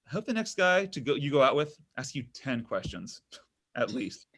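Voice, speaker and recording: A man's voice: mid-range voice, very animated, moderate pace, fairly bright tone. A man playing a self-absorbed, needy young man in a comedy table read, melodramatic and whiny. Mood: awkward, apologetic.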